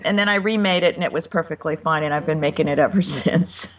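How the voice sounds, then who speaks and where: An American woman talks about cooking in a friendly, halting way, with frequent ums. Her voice sits a little low. She sounds set back from the microphone. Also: recorded from a distance, low-quality recording. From United States. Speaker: cookbook author, guest. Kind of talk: interview answers.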